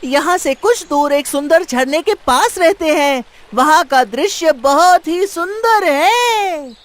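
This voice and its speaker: A woman voicing a friendly, chatty talking forest bird in a cartoon story, with an excited, drawn-out delivery. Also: high-pitched. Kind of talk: Hindi cartoon moral story voice acting.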